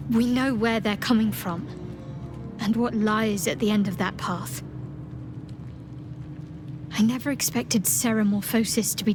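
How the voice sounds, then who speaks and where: An actress playing a cautious, sceptical companion in a fantasy video game, with a measured, wry delivery. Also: female voice, medium pitch, lightly acted. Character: guarded, sceptical young woman adventurer, wryly cautious.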